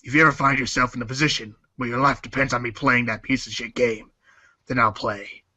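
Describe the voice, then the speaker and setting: A man playing a cocky, foul-mouthed teenage boy with a deadpan, slangy, sarcastic delivery and husky voice in a storybook fan dub. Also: mid-range voice, fairly quick, muffled recording. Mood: sardonic, cocky.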